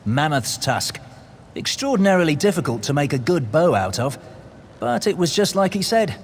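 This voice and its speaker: A haunted undead general in a fantasy game, proud and brooding. His highish voice moves dramatically up and down, ringing in a long reverb with some noise behind it. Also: big pitch swings, moderate pace. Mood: wondering, reminiscing. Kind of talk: video game character dialogue.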